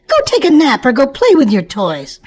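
A woman's voice: sits high, huge pitch swings, normal speed, a little mellow, breathy edge, full character voice. A woman voicing a stern, scolding mother rabbit in a storybook, firm and lecturing. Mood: warning.

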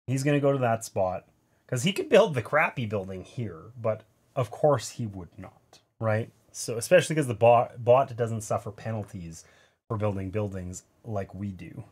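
A man's voice: on the low side, lively intonation, normal speed, slightly rough. A man in his thirties with a nasal voice, casually talking through a board game play-through from a gaming table indoors, explaining each move with wry asides.

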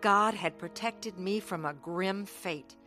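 A woman's voice: medium pitch, takes it slowly, pushed and bright, soft background music. An actress playing a restless, reckless young woman telling her life story in a radio drama. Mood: earnest, regretful. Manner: earnest, confessional storytelling. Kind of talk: dramatised first-person radio testimony.

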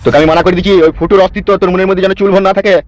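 Blustering small-time politician, played by a man, slightly high male voice, very rapid, cartoon comedy. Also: steady tone, slight background noise, natural voice. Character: blustering, foul-mouthed male local politician.

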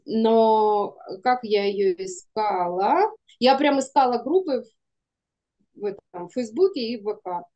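A woman around thirty to forty talks as a guest in a casual interview. Her voice sits a little high. She speaks informally and expressively, acting out bits of dialogue as she explains. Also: expressive, a little slow, mellow, dull audio. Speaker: guest, animation studio producer. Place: room indoors, video call.

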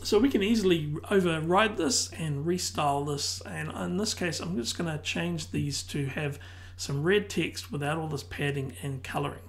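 A tutor losing their voice who keeps clearing their throat, calmly explaining a software tutorial one step at a time. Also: mid-range voice, moderate pace. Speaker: web design tutor. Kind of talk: screen-recorded software tutorial.

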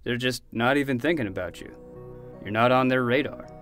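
A man's voice: medium pitch, brisk, over music, lightly acted. A man playing an easygoing, protective uncle in a fiction podcast, conversational and matter-of-fact. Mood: casual, wry. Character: easygoing, protective adult uncle, mildly flustered.

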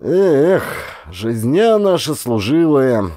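A man voicing an easygoing, rough-hewn Cossack sergeant with a folksy, colloquial way of talking in an audiobook. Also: mid-range voice, big pitch swings, slow pace, warm tone, no echo, studio quality, pitched above the performer's own voice. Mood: tipsy, resigned.

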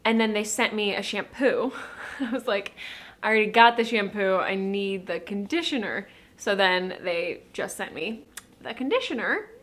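A young woman vlogging at home, chatting quickly and cheerfully straight to the camera about her day. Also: medium pitch, lively intonation, a bit bright. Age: young adult. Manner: bubbly, fast, talks straight to camera. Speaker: lifestyle vlogger. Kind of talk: casual chatty vlog talk. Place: bright home kitchen and living room.

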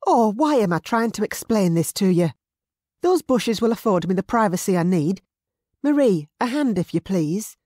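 Narrator putting on a sharp-tongued pregnant noblewoman. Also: fairly high pitch, sing-song, normal speed, dry recording, studio quality, voice pushed up. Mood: defiant. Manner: defiant, snappish.

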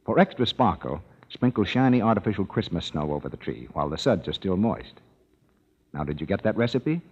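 A man's voice: deep voice, very animated, fairly quick, slightly rough. A man reading a cheerful sponsor message as a radio announcer, persuasive and instructive. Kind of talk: 1930s radio commercial reading. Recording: muffled 1930s radio broadcast in a hall.

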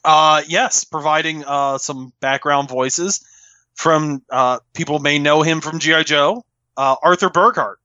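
Man, podcast guest, loud, highish voice, dry lo-fi sound, chatty storytelling. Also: measured pace, dry recording. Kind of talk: casual chat, telling anecdotes. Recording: dull, lo-fi microphone.

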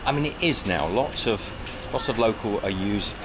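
A man's voice: fairly low pitch, animated, moderate pace. A man in his fifties chatting upbeat while vlogging his travels, filmed on a handheld camera on a sunny street and in a shop. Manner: chatty, upbeat, thinking aloud. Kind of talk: casual travel vlog. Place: outdoors on a sunny street and in a supermarket. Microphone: action camera held at arm's length. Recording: street noise behind.

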